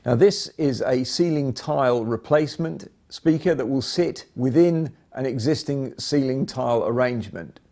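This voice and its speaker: Male product presenter, mid-pitched and soft-edged, measured delivery for an instructional demo.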